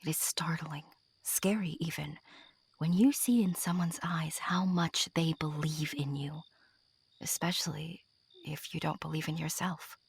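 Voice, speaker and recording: A woman narrating a scripted story in a hushed, breathy storytelling manner. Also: low-pitched, fairly even intonation, unhurried, dry recording.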